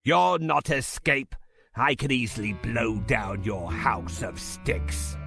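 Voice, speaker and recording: Slow, growling big bad wolf. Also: male voice, medium pitch, expressive, gravelly, fairly bright tone, faint music behind, heavily acted. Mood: gloating, threatening. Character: greedy, menacing big bad wolf hungry for piggy pie. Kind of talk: children's storybook game character acting.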